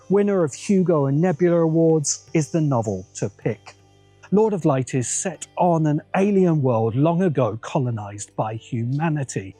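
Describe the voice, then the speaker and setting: A man hosting and narrating a scripted video essay in a measured way, with wry asides. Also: mid-range voice, warm tone, slightly rough. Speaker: host.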